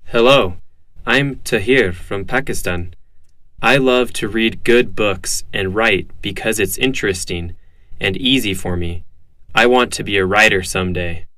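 A man reading scripted lines for a language lesson in a plain, measured way. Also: on the low side, bright, forceful tone, no room sound. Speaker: dialogue reader.

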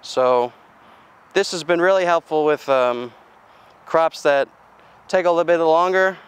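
A man's voice: fairly high pitch, measured pace, clear, resonant tone. A young man, a farmer giving a casual outdoor walkthrough of his equipment, talking plainly with lots of fillers and restarts. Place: outdoors in a farmyard.

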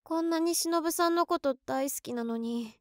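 A woman's voice: extremely high pitch, normal speed, no echo, clean studio sound. A woman playing an overworked, emotional office worker in her early twenties, talking to herself in an audio drama monologue. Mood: dejected.

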